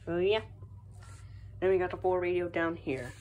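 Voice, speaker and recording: A young American woman with a low-pitched voice talks casually through a show-and-tell. She is recorded on a handheld phone in a room at home, with faint noise behind her. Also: moderate pace, narrow, tinny audio. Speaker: hobbyist vlogger showing a collection.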